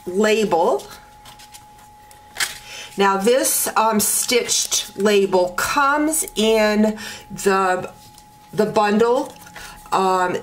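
A woman teaching card making step by step at her craft table, talking in a relaxed way with many ums and uhs. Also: medium pitch. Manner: slow, relaxed talk with frequent ums and uhs.

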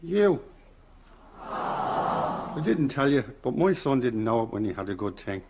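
Creaky, slow old Irish grandad. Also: male voice, medium pitch, very animated, a little mellow, a little hiss or hum. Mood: cheeky. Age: older. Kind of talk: studio sitcom acting.

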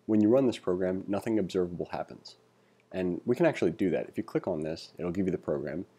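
A man giving a read-along coding tutorial, reading aloud and thinking out loud with informal asides. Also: low-pitched, animated, fast, breathy edge. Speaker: instructor.

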